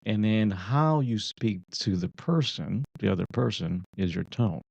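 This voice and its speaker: A man co-hosting a casual advice talk, explaining things deliberately and checking in with his listener, on a slightly muffled recording. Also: lowish voice, expressive, vocal fry, darkish tone, no echo. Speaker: podcast co-host.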